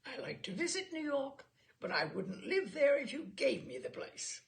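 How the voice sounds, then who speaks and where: A woman's voice: sits high, very animated, moderate pace, gravelly, voice pushed up, full character voice. A woman reader playing a smug, pompous man of about forty, a professional cliché expert testifying in a comic story. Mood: smug, obliging. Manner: pompous, pat answers.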